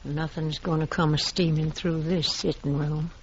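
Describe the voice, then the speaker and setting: A woman's voice: low-pitched, little pitch movement, moderate pace. An actress in a 1950s radio western playing a stubborn widowed frontier woman defending her homestead, with a folksy drawl. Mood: wistful. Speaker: actor in radio drama. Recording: muffled old radio recording, faint hiss.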